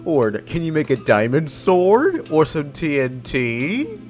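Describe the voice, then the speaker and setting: Slow, fairly high-pitched man gamer. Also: huge pitch swings, a little mellow, faint music behind. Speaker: gamer commenting on a playthrough. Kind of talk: casual gameplay commentary.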